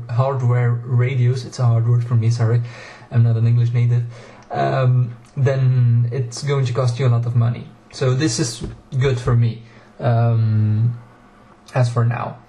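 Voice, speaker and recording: A man's voice: medium pitch, mellow. Hesitant Dutch man in an echoey room. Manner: hesitant, many fillers and restarts. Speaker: home cockpit builder and vlogger. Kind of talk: casual walkthrough video. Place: small home cockpit room. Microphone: action camera.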